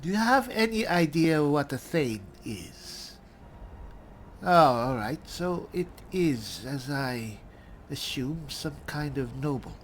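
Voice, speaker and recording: Woman, in-character game commentary, very lively intonation, slow pace, theatrical, wry, faint noise and game ambience behind. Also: deep voice. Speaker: roleplaying game player, host.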